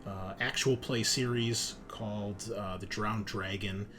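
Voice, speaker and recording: A man's voice: lowish voice, fairly even intonation, slow pace, music in the background. A man hosting a tabletop game show, chatting casually and upbeat as he introduces the players. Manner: informal, upbeat, chummy. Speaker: host and game master of a tabletop RPG show.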